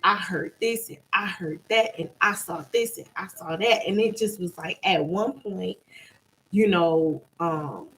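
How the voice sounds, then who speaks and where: Bright, emphatic woman co-host. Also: on the low side, unhurried, a little hiss or hum, low-quality recording. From Bahamian and American parents. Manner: emphatic storytelling with repeated phrases, acts out quotes. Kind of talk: casual couple chat.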